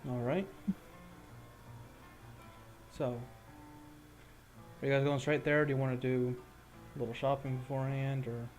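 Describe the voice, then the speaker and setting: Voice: medium pitch, level tone, moderate pace, a little mellow, faint music behind. A game master casually running and narrating a tabletop roleplaying game.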